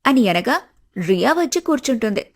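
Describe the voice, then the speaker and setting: Woman storyteller reading scripted narration, pretty high pitched voice. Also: big pitch swings, normal speed.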